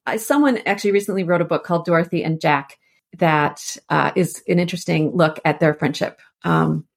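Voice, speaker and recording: Female expert guest, lowish voice, informal and explanatory, loosely strung conversational sentences. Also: a little fast, vocal fry, breathy edge. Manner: explanatory, informal, meandering phrasing. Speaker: scholar and author, podcast guest.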